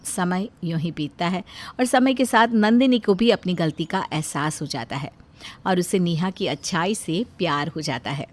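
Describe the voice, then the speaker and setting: A woman's voice: on the low side, moderate pace. A woman narrator reading a scripted cartoon tale in storytelling style.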